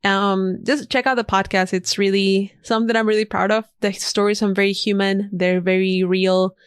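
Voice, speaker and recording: A woman's voice: mid-range voice, little pitch movement, moderate pace, clean tone, dry recording, studio quality. A woman whose first language is Spanish, a podcast guest chatting casually and eagerly recommending things with emphatic repeats. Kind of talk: casual interview chat. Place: podcast studio.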